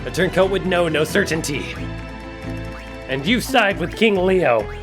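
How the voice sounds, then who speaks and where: Man acting a cocky young thief, animated voice. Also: sits high, measured pace, music in the background. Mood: dramatic, defiant. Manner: archaic stage declaiming switching to casual banter. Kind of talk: theatrical video game fan dub acting.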